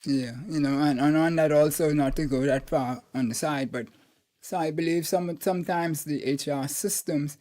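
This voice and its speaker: A man's voice: fairly high pitch, fairly even intonation, normal speed, mellow, no room sound. A Bahamian man hosting an interview podcast, easygoing and conversational, dropping in short agreements. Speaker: podcast host. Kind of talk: casual interview chat.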